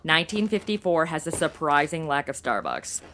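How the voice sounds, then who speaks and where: A woman's voice: low voice, big pitch swings, a bit bright, slight background noise. An actress playing a flippant young American woman in her twenties with slangy valley-girl drawl, in a comedy audio drama. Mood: sassy, flippant. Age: 20s. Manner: slangy valley-girl talk with drawn-out words. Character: flippant modern twenty-something woman, sassy amateur detective.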